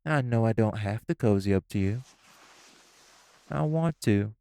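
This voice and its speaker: A man's voice: on the low side, expressive, measured pace, gravelly, warm tone, a little hiss or hum. A man playing a smug, scheming gangster. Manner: smug, mocking, sly. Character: smug, scheming male gangster, sly and teasing. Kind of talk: audio roleplay acting.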